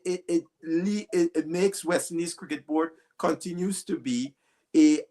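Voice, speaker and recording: A man from Guyana with a Guyanese accent, a cricket pundit speaking his mind emphatically on a panel. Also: medium pitch. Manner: emphatic, blunt when challenged. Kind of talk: panel discussion.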